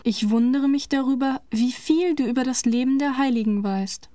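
A curious daughter, played by an actress in an audio drama. Her voice is fairly high and inquisitive, recorded close and dry. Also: moderate pace, warm tone, no room sound, natural voice. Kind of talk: scripted audio drama dialogue.

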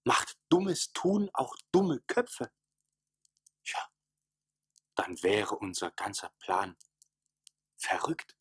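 A man's voice: fairly low pitch, sing-song, drawn-out words, long pauses, breathy edge, dry recording, clean studio sound, full character voice. An actor playing a troubled, self-doubting townsman, brooding and theatrical in a radio play. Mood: brooding, self-reproachful. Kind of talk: stage-like radio play acting.

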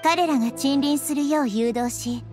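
A woman voicing a small, wise young girl deity in a game, speaking in a measured, gently reproving way. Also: sits high, over music, lightly acted. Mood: calm, reproving. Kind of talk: scripted Japanese game voice acting.

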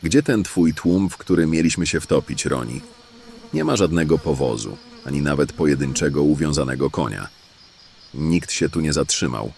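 A man's voice: extremely low pitch, very animated, moderate pace, creaky, darkish tone, full character voice. A man playing a wary, grumbling outlaw in a fantasy audio drama. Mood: suspicious. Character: wary, grumbling male bandit, anxious about capture. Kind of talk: fantasy radio drama acting.

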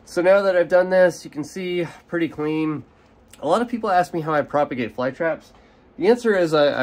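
A young man with a slightly nasal voice from a cold, casually explaining how he divides and repots plants, recorded outdoors by an overhead camera. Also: medium pitch. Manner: relaxed, explanatory, casual with fillers. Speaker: carnivorous plant grower. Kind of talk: hands-on gardening demonstration. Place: outdoors in sunlight at a potting table. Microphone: camera overhead, speaker off frame.

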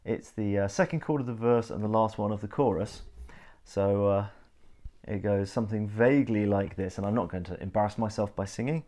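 A man's voice: fairly low pitch, fairly quick, dark tone, a little hiss or hum. A man in his thirties talking casually to camera in a living room, explaining things in a geeky, thorough, hesitant way. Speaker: host of a video channel. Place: living room indoors, on a sofa.

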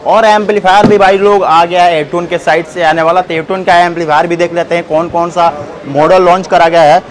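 A man's voice: high voice, level tone, noisy background. A young man hosting a casual product walkthrough at a busy indoor expo booth, chatty and addressing viewers directly. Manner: chatty, addresses viewers as brothers, quick questions. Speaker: trade show video host.

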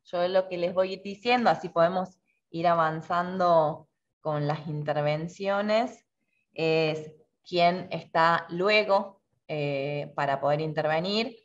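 A woman in her thirties moderates an online meeting in a slightly low voice. She takes her time introducing speakers, and the video-call audio is a bit dull. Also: slow. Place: indoor room with bookshelves, on a video call.

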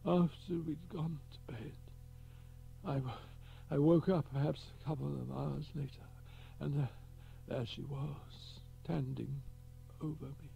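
Dying older man, voiced by the narrator, stammering, higher and rough-edged. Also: fairly even intonation, warm tone, soft background music. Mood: broken, grieving. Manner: halting, stammering speech.

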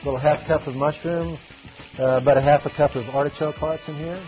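A man's voice: on the high side, steady tone, a little fast, warm tone, dull audio, soft background music. A middle-aged man chef giving a live cooking demo in a television studio kitchen, talking casually with lots of fillers while he works, on a lapel mic. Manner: folksy, talks while cooking with frequent fillers. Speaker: restaurant chef.

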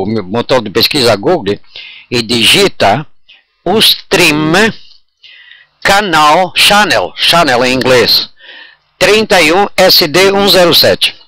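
A man's voice: medium pitch, sharp tone, no echo, rough recording. A man talking as an amateur radio operator, explaining step by step, repeating himself and checking he is understood. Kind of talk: radio contact talk.

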